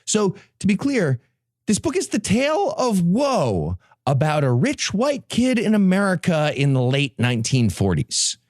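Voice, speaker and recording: Sarcastic man with a very animated delivery. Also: sits high, a little slow, no room sound, clean studio sound. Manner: sarcastic, profane. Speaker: podcast host. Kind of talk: comedic book recap to co-hosts.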